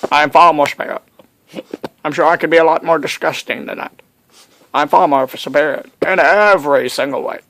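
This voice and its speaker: A man's voice: fairly high pitch, a little fast, a bit bright, slight background noise, poor audio. A man making casual, joking interruptions in mock-pompous banter as a friend tells a story.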